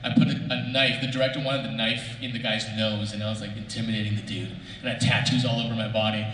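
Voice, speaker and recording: Male panelist, bright and chatty, unscripted, distant mic in a reverberant room. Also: lowish voice, flat delivery, quick pace. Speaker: voice actor on a panel. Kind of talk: casual unscripted panel talk. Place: large convention panel room, audience questions. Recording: distant, echoey hall, faint noise.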